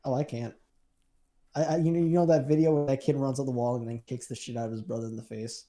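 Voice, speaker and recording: A man's voice: mid-range voice, steady tone, fast, mellow. A young man co-hosting a podcast, chatting crudely and casually, rambling, swearing and trailing off mid-sentence. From Filipino heritage. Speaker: podcast co-host.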